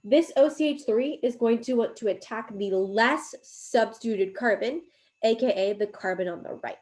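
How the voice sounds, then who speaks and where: A woman's voice: fairly high pitch, normal speed, a little mellow. A woman giving a recorded chemistry lecture while drawing, explaining step by step, her voice a little worn from coughing. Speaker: chemistry teacher.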